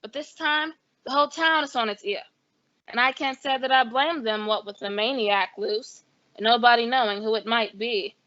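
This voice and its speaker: An actress playing a gossipy, harried small-town telephone operator, chatty and brisk, in old-time radio style. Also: female voice, a little high, bright, forceful tone, no echo, muffled recording.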